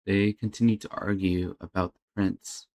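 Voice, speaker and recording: A man's voice: deep voice, level tone, normal speed, gravelly, mellow. A man hosting a reaction video, giving casual off-the-cuff commentary as he watches.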